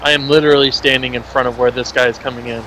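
A man's voice: mid-range voice, level tone, very fast, sharp tone, slight background noise, poor audio. A man co-hosting a gameplay video with casual banter and commentary on the action. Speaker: gaming video co-host. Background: video game ambience.

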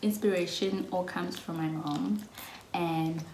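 A woman's voice: fairly low pitch, steady tone, takes it slowly, a little mellow, reverberant, a little hiss or hum, low-quality recording. A young South African woman in her twenties, casually chatting and giggling through a get-to-know-us video. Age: early 20s. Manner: giggly, chatty, laughs often. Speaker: YouTube vlogger. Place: small indoor room.

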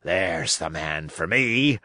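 A man's voice: deep voice, lively intonation, slow pace, bright, forceful tone, no room sound, studio quality, exaggerated voice. A man reading a storybook, voicing a shrewd, domineering pirate sea cook with salty, emphatic sailor talk. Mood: sly, grave.

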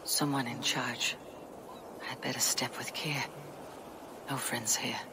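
A woman playing a bold, gruff Viking warrior in a video game, with a low husky voice. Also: fairly even intonation, takes it slowly. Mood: brooding, hushed. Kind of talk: video game cutscene acting. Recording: clear background noise.

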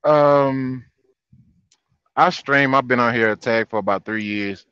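A man, talking in a relaxed, easygoing way as a streamer who drives a truck. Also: mid-range voice, a little slow, clean tone, dry recording, dull audio. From Houston, Texas. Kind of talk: casual chat.

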